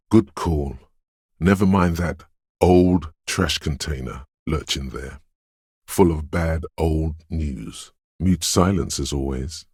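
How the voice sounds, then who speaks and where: A man voicing the eerie, brooding inner voice of a detective's intuition in a video game, with slow, ominous, dreamlike narration and a husky, gravelly quality. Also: a bass voice, dry recording, studio quality, full character voice. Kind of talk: scripted video game narration in second person.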